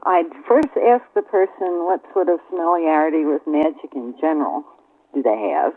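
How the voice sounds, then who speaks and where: A woman speaks over a narrow, tinny phone line as an interview guest. She explains things in careful, deliberate phrases, choosing her words precisely. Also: sits low. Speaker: interview guest, occult author. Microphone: telephone.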